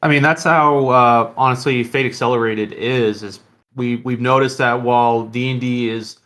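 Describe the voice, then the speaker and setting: A man's voice: medium pitch, steady tone, normal speed, fairly bright tone. A man speaking conversationally as a podcast host. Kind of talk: casual chat.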